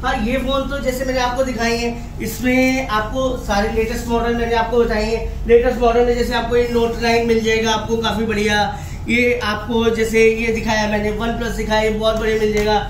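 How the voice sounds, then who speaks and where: A man's voice: extremely high pitch, monotone, brisk, few pauses. Salesman in an echoey room. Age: middle-aged. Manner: colloquial, repetitive, persuasive salesman patter. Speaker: secondhand phone wholesaler. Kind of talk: informal sales pitch to camera. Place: small office room, indoors. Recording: echoey room, distant mic, noisy background.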